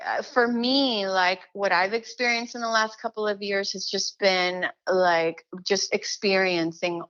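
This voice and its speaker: A woman's voice: medium pitch, unhurried, clear, resonant tone. Chatty woman, slightly muffled. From Chile. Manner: animated, restarts often, many fillers. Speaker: singer, interview guest. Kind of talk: casual interview chat.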